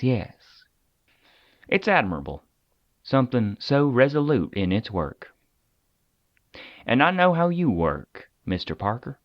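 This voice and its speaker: Guy acting a tired agency man talking someone round, audio drama, dry slightly muffled sound, dramatic pauses. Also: mid-range voice, no room sound. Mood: persuasive, solemn. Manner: conversational, deliberate, with dramatic pauses. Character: weary, persuasive male agent of a secret containment agency.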